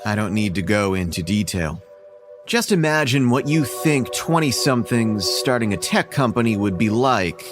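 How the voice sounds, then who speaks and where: A man's voice: fairly low pitch, animated, normal speed, music in the background, lightly acted. An actor in his thirties narrating a horror story in the first person in a dry, sardonic way. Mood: wry. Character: wry man narrating his own ordeal. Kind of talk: scripted horror audio drama narration.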